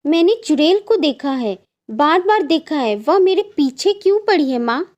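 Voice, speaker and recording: An anxious young bride from a cartoon, voiced by a woman. Her voice is extremely high and girlish in pitch. She comes across as haunted and insistent. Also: animated, moderate pace, darkish tone, lo-fi sound. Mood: frightened, insistent. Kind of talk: Hindi cartoon story acting.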